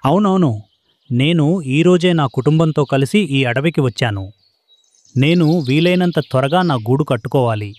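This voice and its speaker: A man's voice: fairly high pitch, moderate pace, darkish tone, dry recording, natural voice. A man playing a poor, trusting father pigeon, earnest and anxious, in a children's cartoon. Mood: surprised, hesitant. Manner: earnest, innocent, pleading.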